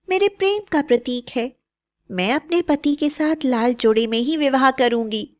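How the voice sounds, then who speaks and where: A woman playing a devout, fearless young bride in a cartoon fairy tale, speaking in an earnest, declamatory way. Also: high voice. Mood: resolute.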